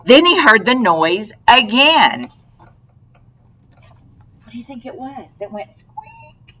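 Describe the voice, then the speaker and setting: A woman reading a picture book aloud to a young child, expressive and prompting with questions. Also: fairly high pitch, slow, background noise.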